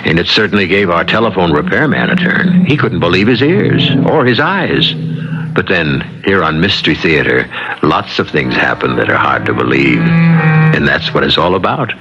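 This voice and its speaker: A man narrates as a radio mystery host, confiding and ominous. His voice is very low. The old recording is muffled, with loud music behind him. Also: big pitch swings, moderate pace. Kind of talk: dramatic scripted radio narration.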